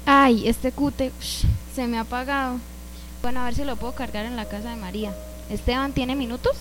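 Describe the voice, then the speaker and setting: A woman's voice: fairly high pitch, fairly even intonation, fairly quick, noisy background, natural voice. A young woman playing an impatient teenage girl in a youth radio drama, bossy and exasperated. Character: impatient teenage girl, annoyed with her sister.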